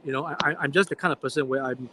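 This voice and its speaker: A man's voice: mid-range voice, steady tone, very fast, background noise. A man hosting a casual live stream chat, asking probing questions in an inquisitive, chatty way. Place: airport waiting area at night.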